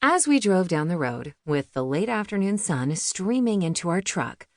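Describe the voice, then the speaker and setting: A woman's voice: on the low side, sing-song, moderate pace, darkish tone, no echo, studio quality. A woman reading a memoir audiobook as a scripted narration.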